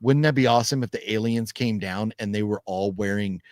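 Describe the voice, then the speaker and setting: A man's voice: fairly low pitch, a little fast, a little mellow, no room sound, clean studio sound. A man in his fifties hosting a casual livestream chat, reading viewer comments in a rambling, profane way with frequent laughter. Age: 50s. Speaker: podcast host.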